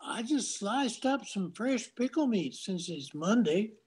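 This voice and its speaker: A man's voice: sits high, sing-song, a little slow, darkish tone, slightly rough, full character voice. An older man playing a kind, honest widowed shopkeeper in a radio drama, friendly and courteous. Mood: friendly, sympathetic. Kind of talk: 1940s radio drama acting, read from script.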